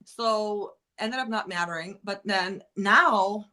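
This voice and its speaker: A woman's voice: mid-range voice, slow pace, pushed and bright. A woman from the United States, a guest in a casual podcast chat, talking candidly in a rambling way full of "like". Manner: rambling and candid, lots of "like" and restarts.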